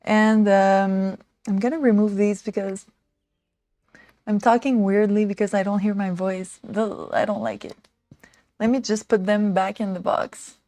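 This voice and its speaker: A woman in her thirties chats through a makeover livestream, rambling and excitable, full of "like" and "um". She talks slowly and takes her time. Her voice is close and dry on a small desk mic. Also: medium pitch, dry recording. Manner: rambling, excitable, many fillers like "like" and "um". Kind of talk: casual chatty livestream talk.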